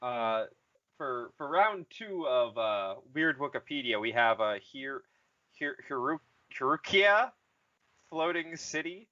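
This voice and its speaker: A man co-hosting a casual comedic podcast, joking, swearing and halting as he goes. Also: mid-range voice, expressive, sharp tone, no echo, highs cut off. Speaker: podcast host.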